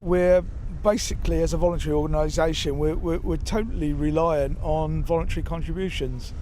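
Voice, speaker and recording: Mellow higher-pitched man outdoors. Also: steady tone, a little fast. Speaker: lifeguard volunteer being interviewed. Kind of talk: unscripted news interview. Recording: faint outdoor traffic noise.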